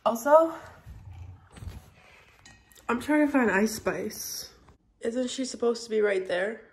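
A woman's voice: fairly high pitch, animated, slow pace, creaky, slightly rough. A young adult woman vlogging casually outdoors on a handheld phone, rambling and often saying "like". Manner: rambling, filler-heavy with frequent "like". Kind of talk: casual vlog chat. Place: outdoors in city streets and parks. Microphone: phone or camera at arm's length. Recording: very noisy handheld vlog audio.

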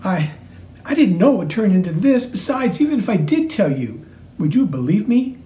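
A man's voice: high-pitched, very animated, moderate pace, dark tone, echoey room, distant mic, a little hiss or hum. A man voicing an earnest, awkward young boy who speaks hesitantly. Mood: sheepish.

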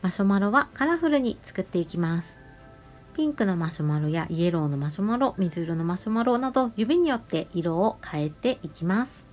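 A woman nail artist narrating a tutorial voice-over, explaining each step politely and giving gentle advice. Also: mid-range voice, expressive, brisk. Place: voice-over over close-up salon footage. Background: music.

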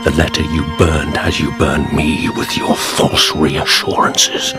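An actor playing a cold, grave, betrayed man bent on revenge, speaking with measured deliberation in a radio drama. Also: a bass voice, huge pitch swings, exaggerated voice. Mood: cold, accusing. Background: dramatic music.

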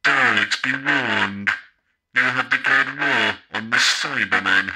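A man voicing a cold, menacing robot soldier, slow and solemn, through an electronic voice changer and small speaker. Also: mid-range voice, sharp tone, breathy edge, exaggerated voice, rough recording. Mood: cold menace. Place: home, tabletop speaker.

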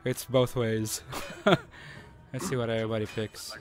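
A man's voice: on the low side, little pitch movement, measured pace. A man with a Filipino accent, casually chatting with teammates while streaming a game from home on a desk mic. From Philippines. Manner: laid-back, chuckling, chatty with teammates. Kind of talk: casual gaming stream chat. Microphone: desk microphone.

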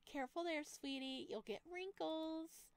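Woman playing a smug high-voiced mean girl. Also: unhurried, creaky, no echo. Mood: mocking.

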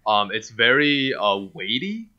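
A man from China, a native Mandarin speaker, talking as a studio owner in a relaxed interview over a video call, with many fillers. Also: mid-range voice, measured pace. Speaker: studio owner, podcast guest. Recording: dull lo-fi call audio.

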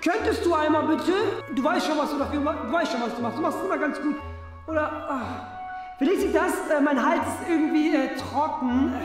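A man's voice: very high voice, brisk. A man in his twenties playing a bossy, smug little brother in a children's web sketch, wheedling and demanding in an exaggerated way. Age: 20s. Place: small furnished rooms, indoors. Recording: loud music behind the voice.

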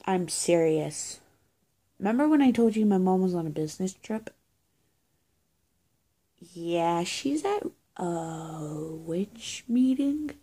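Young woman for improvised witch roleplay: unhurried, halting delivery, soft dark tone, breathy and often near a whisper. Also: fairly low pitch, animated. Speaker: roleplay video creator. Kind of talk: improvised roleplay to camera, playing a witch caring for someone hurt. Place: small indoor room with bare walls. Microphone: phone or webcam at arm's length.

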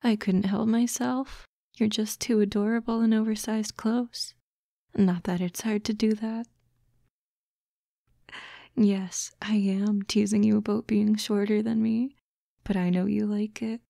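A doting, protective fox-girl girlfriend, played by a woman, coaxes and gently teases in an intimate ASMR roleplay. Her voice is soft and breathy, with a gentle, even melody and a touch of creak. She speaks very slowly, close to the mic. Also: mid-range voice, dry recording. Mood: affectionate, teasing. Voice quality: soft, breathy, often whispering. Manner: slow, coaxing, cooing. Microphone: close, dry microphone.

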